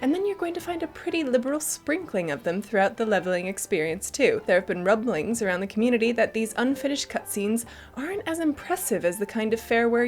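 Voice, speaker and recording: A woman giving chatty, enthusiastic and emphatic scripted commentary as the host of a gaming news show. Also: a little high.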